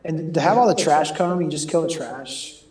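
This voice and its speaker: A man with a raspy voice from a cold, chatting loosely and laughing during a game raid. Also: on the high side, moderate pace, darkish tone, roomy sound. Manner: chatty, rambling, laughs often. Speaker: raid member on voice chat. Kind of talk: casual gaming voice chat.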